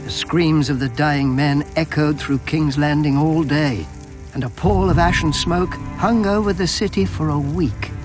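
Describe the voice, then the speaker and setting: A man narrating fantasy history with measured, dramatic storytelling. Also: on the high side. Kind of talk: scripted lore narration. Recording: music behind the voice.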